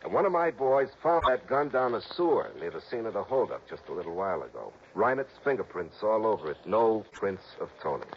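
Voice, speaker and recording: An actor playing a blunt, excitable police lieutenant in an old radio drama. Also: male voice, lowish voice, a little fast, few pauses. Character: gruff, worried male police lieutenant. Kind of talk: 1940s radio acting. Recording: dull old radio broadcast with faint hiss.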